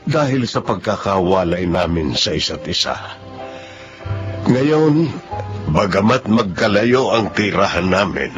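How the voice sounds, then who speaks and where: Radio drama role: devout, heartfelt Filipino man, lower register, muffled sound over music. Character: devout, emotional man reunited with his long-lost sister. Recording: muffled broadcast with music underneath.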